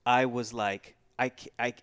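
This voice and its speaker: A man's voice: medium pitch, fairly even intonation, measured pace, a bit bright, clear, resonant tone. A man in his thirties co-hosting a casual, unscripted film review, gushing and repeating himself for emphasis. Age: 30s. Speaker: film review show co-host.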